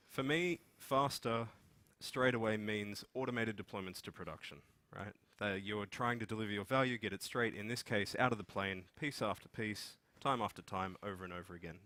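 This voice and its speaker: A man's voice: fairly low pitch, bright, forceful tone. A man with an Australian accent giving an informal conference presentation as one of the speakers. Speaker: conference speaker, software development manager. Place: meeting room with seated audience, indoors.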